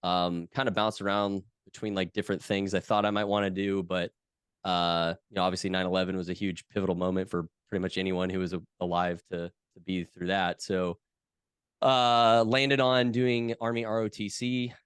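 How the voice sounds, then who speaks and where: Low, smooth-voiced man chatting casually. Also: normal speed, dry recording, studio quality. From West Coast of the US. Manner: relaxed, chatty, lots of fillers. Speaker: podcast guest. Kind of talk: casual conversation.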